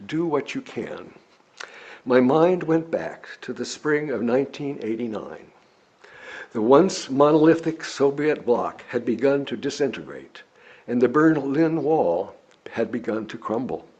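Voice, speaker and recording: A man reads a written sermon aloud in a measured, deliberate, oratorical way, with a husky voice. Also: medium pitch, very animated, darkish tone. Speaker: lay preacher reading a written sermon. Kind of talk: sermon read from a script. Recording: dull, lo-fi recording.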